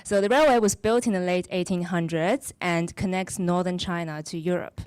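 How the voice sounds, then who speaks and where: A woman author introducing, in a measured, explanatory way, the short story she is about to read aloud. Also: fairly low pitch, fairly even intonation, a little mellow, clean tone, no room sound. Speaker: author reading her story. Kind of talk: introducing and reading her own story.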